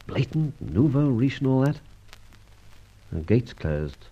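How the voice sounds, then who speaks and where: Suave British gentleman adventurer, huskily animated. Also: mid-range voice, slow pace, slightly rough, exaggerated voice. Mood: wary, brisk. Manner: well-spoken, wordy, ironically polite. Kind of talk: old radio serial acting. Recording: muffled old radio serial recording.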